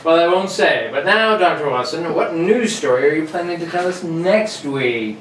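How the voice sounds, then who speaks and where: A genial, curious radio announcer played by a man chats in a high voice, prompting like an old-time show host. The sound is echoey and slightly distant. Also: expressive, normal speed, natural voice. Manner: chatty, prompting. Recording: echoey room, distant mic, faint noise.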